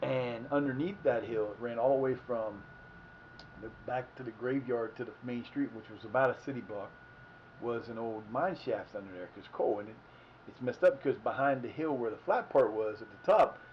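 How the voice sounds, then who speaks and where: Fifties Ohio man, livestream host, chatty and wandering, mid-pitched voice on dull lo-fi audio. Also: slight background noise. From Ohio, United States. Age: 50s. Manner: meandering, self-correcting, folksy. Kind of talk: rambling casual storytelling.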